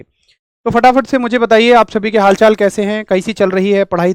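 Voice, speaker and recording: A male teacher with a rural Hindi accent and a sore throat, giving an energetic, chatty online physics class straight to his students. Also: fairly high pitch, clean tone, dry recording.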